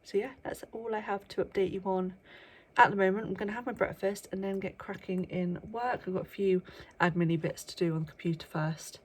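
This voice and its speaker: A young woman vlogging to camera in a casual chat at her workplace early in the morning, with a bunged-up voice from a cold and lots of ums, sometimes dropping to a hush. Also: mid-range voice, steady tone, a little fast. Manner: chatty, with frequent ums, sometimes hushed.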